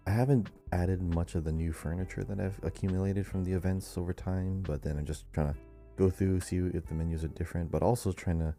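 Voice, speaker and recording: Male podcast co-host, deep dark voice, relaxed casual delivery. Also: fairly quick, soft background music. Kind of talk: casual chat.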